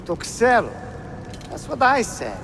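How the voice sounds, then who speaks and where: High-pitched, exasperated soldier. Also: male voice, wildly swooping pitch, takes it slowly, gravelly, noisy background, heavily acted. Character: exasperated, complaining soldier on a tedious search. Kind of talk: video game cutscene acting.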